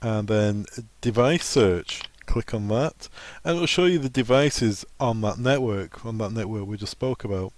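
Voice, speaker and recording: A man's voice: lowish voice, unhurried, hardly any pauses, a little mellow. Man giving a step-by-step technical tutorial. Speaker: technical trainer presenting a tutorial. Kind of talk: software walkthrough tutorial, informal instruction.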